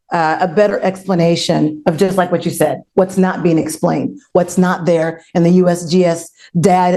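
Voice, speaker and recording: A woman's voice: fairly low pitch, dark tone. A woman speaking in an earnest, explanatory way in an unscripted panel discussion.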